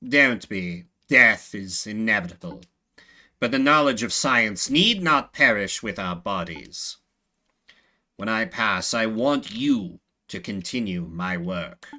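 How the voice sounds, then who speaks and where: Man voicing a slow, very deep old rat. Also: animated, bright, forceful tone, heavily acted. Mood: solemn. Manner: slow, solemn, formal. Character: very old dying rat plague master, grave and scholarly. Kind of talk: voiced story video game dialogue.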